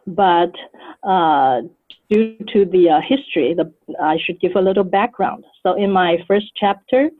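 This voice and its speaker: A woman's voice: lowish voice, a little slow. Older woman on phone-like line. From Taiwan. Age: 70s. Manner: thoughtful, hesitant, many fillers. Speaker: author guest. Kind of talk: conversational interview answers.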